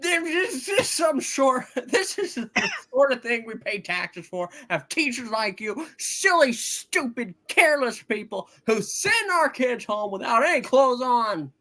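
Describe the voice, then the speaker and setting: A man playing a sharp-tempered but loving, weary father in a hammed-up live radio play reading. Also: very high voice, very animated, measured pace, hardly any pauses, heavily acted. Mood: furious. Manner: hammed-up, exasperated, stumbling reading.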